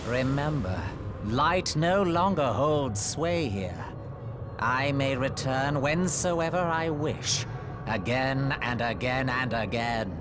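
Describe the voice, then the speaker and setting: An actor playing an arrogant, menacing sorcerer villain in a fantasy video game, with a theatrical, deliberate delivery. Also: male voice, mid-range voice, expressive. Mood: gloating menace. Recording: loud background noise under the voice.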